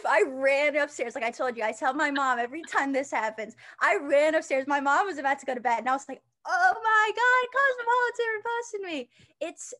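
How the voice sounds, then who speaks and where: A young woman with a high-pitched voice, chatting as an interview guest, with many fillers and restarts. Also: pushed and bright. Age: young adult. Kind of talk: casual interview chat.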